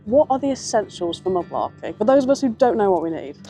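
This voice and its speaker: A woman's voice: mid-range voice, sing-song, quick pace, dark tone, soft background music. A woman chatting casually outdoors on a river foreshore as a presenter. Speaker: presenter, interviewer. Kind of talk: casual chat.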